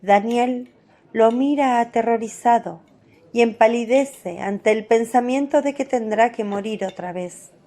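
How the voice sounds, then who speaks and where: A woman narrating a scripted devotional radio drama in a measured storytelling manner. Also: a little high, mellow.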